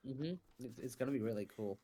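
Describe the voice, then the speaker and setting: A man being interviewed about his voice acting and scriptwriting, talking in a casual, animated way with lots of fillers and some swearing. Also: medium pitch, fast talker. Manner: animated, swears freely, many fillers and restarts. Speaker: interview guest, voice actor and scriptwriter.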